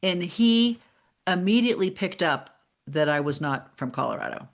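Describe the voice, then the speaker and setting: Low-pitched American woman hosting a chat. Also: lively intonation, normal speed. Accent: American, slight Boston. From Braintree, Massachusetts, USA. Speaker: podcast host. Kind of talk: casual interview chat.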